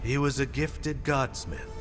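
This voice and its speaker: An actor playing a thoughtful, principled ally in a video game, speaking in a measured, reflective way. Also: male voice, medium pitch, expressive, natural voice. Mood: respectful. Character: thoughtful, principled male ally and old friend. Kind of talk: video game cutscene acting. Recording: long reverb with music behind.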